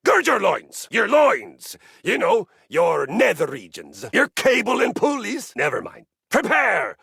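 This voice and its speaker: An actor playing a grandiose, boastful, violence-loving exercise machine in a video game, talking in a theatrical, pompous way that swings from low murmurs to booming shouts. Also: male voice, huge pitch swings, unhurried, creaky, slightly rough, dry recording, studio quality, heavily acted. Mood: rousing. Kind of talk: video game character acting.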